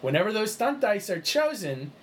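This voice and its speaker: A man's voice: high voice, big pitch swings, moderate pace, slight background noise. A man running a tabletop game session, explaining the rules in a chatty way with lots of fillers and restarts. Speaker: game master.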